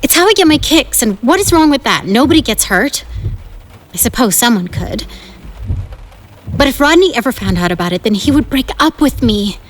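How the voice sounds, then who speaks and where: A woman's voice: a little high, expressive, normal speed. A woman playing a bossy, thrill-seeking teenage gang leader in a 1950s audio drama, dramatic and restless in manner. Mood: brooding, thrilled.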